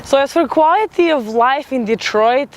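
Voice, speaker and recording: A woman's voice: high-pitched, lively intonation, normal speed, slight background noise. A woman in her twenties from Serbia, an international student giving emphatic, opinionated interview answers with many fillers, outdoors in a city. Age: 20s.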